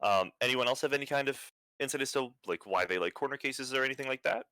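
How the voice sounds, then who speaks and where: Monotone young man with a bright, dry voice. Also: mid-range voice, fast, no echo. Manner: flat, self-described monotone, many fillers. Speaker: presenter. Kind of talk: online conference presentation.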